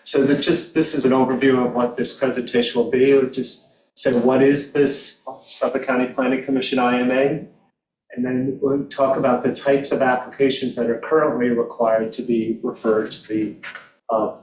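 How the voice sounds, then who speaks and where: A man on planning commission staff giving a slide presentation in an even, measured way. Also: medium pitch. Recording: echoey room from far off with faint noise.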